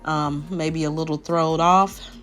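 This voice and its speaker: Slow, raspy-voiced woman. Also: fairly even intonation, a bit bright. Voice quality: naturally deep voice. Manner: chatty, rambling, addresses viewers directly. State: raspy after a sinus infection. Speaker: nail tutorial creator. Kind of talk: casual voice-over tutorial. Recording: faint background music.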